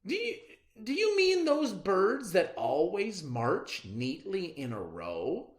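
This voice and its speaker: A brash storybook penguin, put on by a reader, blaring and high, swooping wildly. The manner is cheerful and excitable, with a roomy echo around it. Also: takes it slowly. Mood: excited, questioning. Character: brash, cheerful, oddball penguin who blares everything.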